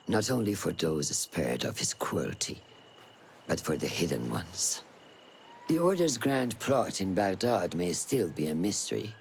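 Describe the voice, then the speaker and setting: An actor playing a stern, wise mentor of a hidden assassin order in a video game, speaking gravely, formally and deliberately. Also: male voice, low-pitched, a little hiss or hum, heavily acted. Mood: solemn. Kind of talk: dramatic video game acting.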